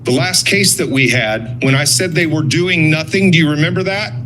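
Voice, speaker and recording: A man's voice: a little high, moderate pace, background noise. A blunt, sarcastic man giving confrontational public comment at a meeting, swearing and accusing.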